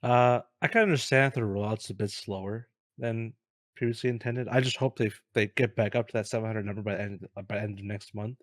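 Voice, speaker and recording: A man chatting casually about games as a co-host, in a measured, somewhat tentative way. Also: on the low side, steady tone, dry recording, studio quality. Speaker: co-host of a gaming news show.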